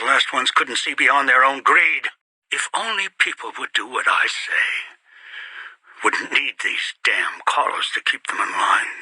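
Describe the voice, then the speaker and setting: Casting: a greedy, scheming elderly game villain, hard-edged and very tense delivery, rasping breath, muttered contempt and curt orders. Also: male voice, medium pitch, sharp tone, no room sound, heavily acted, poor audio. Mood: bitter, muttering. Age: older. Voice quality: raspy, breathy. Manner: contemptuous, commanding, muttering to himself. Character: bitter, greedy, scheming old man commanding captives. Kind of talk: video game character voice acting.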